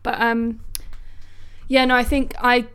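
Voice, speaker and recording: Clear-voiced woman chatting informally. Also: fairly high pitch, flat delivery, slow, fairly bright tone. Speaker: podcast co-host. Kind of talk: casual conversational discussion.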